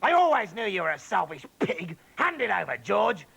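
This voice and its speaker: Man doing a horrid old grandmother, shrill, shouting, gravelly, bright. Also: very animated, a little slow, full character voice. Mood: furious, scolding.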